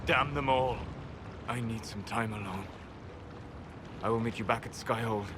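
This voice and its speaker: A man playing a solemn, learned elven mage in a video game, with a measured, formal and precise way of speaking. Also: mid-range voice, noisy background, lightly acted. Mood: grim, restrained. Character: elven mage, male, solemn, learned, fiercely protective of his friend. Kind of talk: video game cutscene voice acting.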